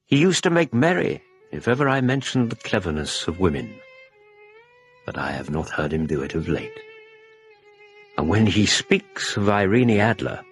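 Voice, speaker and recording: An actor playing a genial Victorian gentleman who narrates a radio drama in a measured, wry way. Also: fairly low pitch, big pitch swings, faint music behind. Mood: wry, reflective. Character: genial Victorian gentleman, detective's companion, telling his tale.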